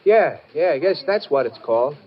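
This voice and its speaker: Guy doing high swoopy folksy small-town printer, kinda hesitant, old radio show. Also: animated, moderate pace, lightly acted. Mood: eager, hesitant. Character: hesitant, folksy male print-shop worker. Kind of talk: 1950s radio crime drama acting. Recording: muffled, very noisy 1950 radio recording.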